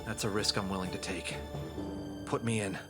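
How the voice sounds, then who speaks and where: A man's voice: deep voice, steady tone, normal speed, over loud music, lightly acted. An actor playing an earnest, determined and loyal male fox adventurer in an audio drama. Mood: solemn, resolute.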